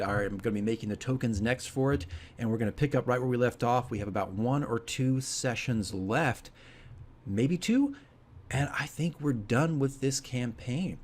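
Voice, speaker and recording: Husky-voiced man, casual and emphatic. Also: mid-range voice, a little mellow. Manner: conversational, emphatic, often checks in with "right?". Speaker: dungeon master and video diary host. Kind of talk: casual explanatory monologue to fellow game masters.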